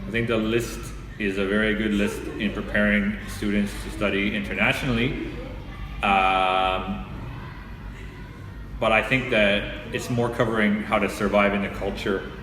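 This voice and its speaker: A man answers interview questions in a measured, explanatory way. His voice is very low and stays quite flat. The room is echoey and reverberant, with noise behind him.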